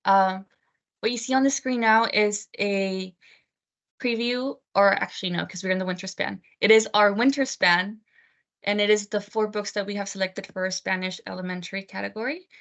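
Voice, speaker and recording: A woman's voice: mid-range voice, steady tone, normal speed, fairly bright tone. A woman from Texas reading a scripted book presentation over a video call. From United States (Texas). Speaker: book selection editor. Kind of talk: prepared book talk presentation.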